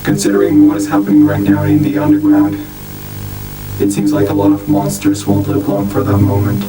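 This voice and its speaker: A man playing a grim monster news anchor, reading a scripted catastrophe bulletin in a solemn, deliberate way. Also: lowish voice, completely flat delivery, close to an everyday voice. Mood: grave, formal. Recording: distant, roomy, music underneath.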